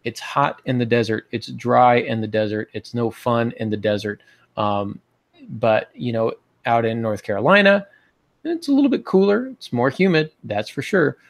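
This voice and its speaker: A man's voice: mid-range voice, moderate pace, creaky. An American man in his forties hosting a podcast, giving casual instructional talk over a video call. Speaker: podcast host. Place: small home office, indoors. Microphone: earbud headset on video call.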